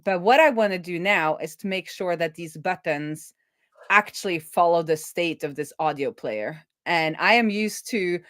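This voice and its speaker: A woman's voice: lowish voice, normal speed, a bit bright, no echo, studio quality. A middle-aged woman chatting casually on a coding livestream as its host, thinking aloud in an informal way. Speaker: live coding streamer and host.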